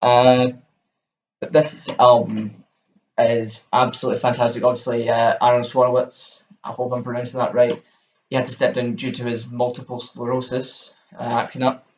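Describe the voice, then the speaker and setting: A man's voice: mid-range voice, completely flat delivery, moderate pace, dull audio, a little hiss or hum. A young Scottish man with a Scottish accent, enthusiastically rambling through a casual album review to camera. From Scotland. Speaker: music vlogger.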